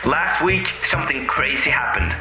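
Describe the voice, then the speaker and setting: A man's voice: medium pitch, lively intonation, moderate pace. A man talking casually as if on a call, through a phone filter. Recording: echoey phone-effect audio under music.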